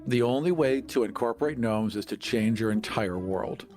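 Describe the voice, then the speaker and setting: A man's voice: fairly low pitch, normal speed, a little mellow, faint music behind. A man co-hosting a podcast in a casual discussion about gaming. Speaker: podcast co-host.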